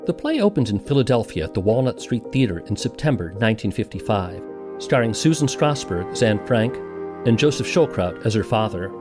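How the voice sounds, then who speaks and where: A man's voice: lowish voice, big pitch swings, a little fast, over music. A man hosting and narrating a documentary podcast from a script.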